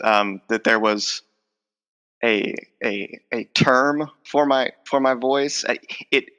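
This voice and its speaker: A man's voice: fairly low pitch, sharp tone. A middle-aged man who stutters, with word repetitions and many fillers, speaking reflectively as an interview guest. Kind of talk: conversational interview.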